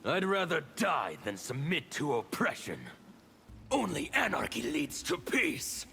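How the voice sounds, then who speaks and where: An actor playing a disfigured anarchist revolutionary villain in a video game, gravelly, theatrical and menacing. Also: male voice, fairly high pitch, sing-song, measured pace, bright, forceful tone, slight background noise, full character voice. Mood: haughty menace.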